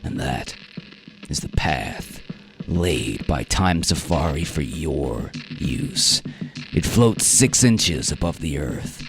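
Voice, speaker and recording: A man's voice: a bass voice, expressive, takes it slowly, creaky, music in the background, pitched below the performer's own voice, heavily acted. A man playing a stern, commanding safari leader in a radio drama, giving blunt warnings in emphatic short phrases. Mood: grave, commanding. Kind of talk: radio drama character reading.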